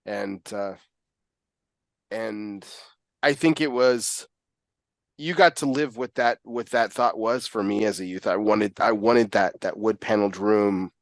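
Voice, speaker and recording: Brief: a rambling, enthusiastic male host with a somewhat low voice, recorded dry and close. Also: measured pace, dry recording. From United States. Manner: enthusiastic, rambling, full of fillers and "you know". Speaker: podcast host. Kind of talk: casual conversational interview.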